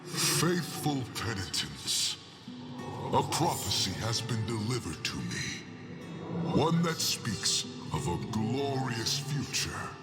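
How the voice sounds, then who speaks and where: Very slow, grandiose zealot preacher. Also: male voice, mid-range voice, big pitch swings. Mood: fervent, exalted. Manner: solemn, portentous oratory. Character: zealous male religious leader, grandiose and self-exalting prophet. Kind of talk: grand video game sermon. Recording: game cinematic with loud music.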